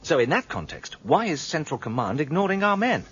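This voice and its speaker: A man playing an investigator in a radio drama, asking measured, courteous questions. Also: fairly high pitch, sing-song, fairly bright tone. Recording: dull lo-fi audio with faint noise.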